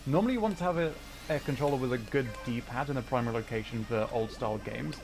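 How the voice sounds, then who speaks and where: A man's voice: medium pitch, fast. A man giving casual gaming livestream commentary, rambling and thinking aloud as he plays. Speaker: livestream host, gamer. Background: music.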